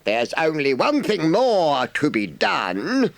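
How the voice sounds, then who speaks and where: Storybook badger: grave old speechmaker, pompous and firm, raised pitch, very deliberate pacing. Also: male voice, wildly swooping pitch, creaky, no echo, heavily acted. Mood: solemn, gratified. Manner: pompous, slow, emphatic speechifying. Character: solemn, kindly but stern elderly male badger, oratorical.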